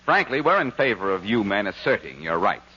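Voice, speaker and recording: Bright, punchy vintage radio adman. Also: fairly low pitch, sing-song, brisk, husky. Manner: folksy, emphatic salesman. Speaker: commercial announcer. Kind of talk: 1940s radio advertising pitch. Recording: dull vintage radio recording.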